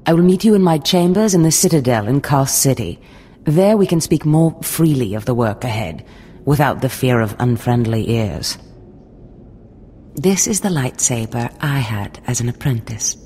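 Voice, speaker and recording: A woman's voice: rumbling low, lively intonation, normal speed, mellow, a little hiss or hum. A woman playing a poised, menacing, condescending Sith lord in a video game, with a theatrical, mock-sweet manner. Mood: smug approval. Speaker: villainous mentor.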